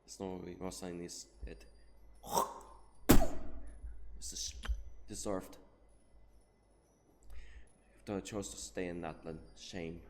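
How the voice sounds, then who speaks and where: A man's voice: low-pitched, level tone, takes it slowly, pauses often, very noisy. A man giving casual gameplay commentary, reading game text aloud and laughing often. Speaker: game streamer. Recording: echoey room with game music.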